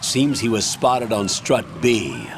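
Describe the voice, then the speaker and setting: Stern, low-voiced military colonel. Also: male voice, sing-song, slight background noise, exaggerated voice. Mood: briefing, businesslike. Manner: commanding, measured, formal. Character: stern, authoritative older male military colonel. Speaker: mission commander. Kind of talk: video game voice acting, mission briefing.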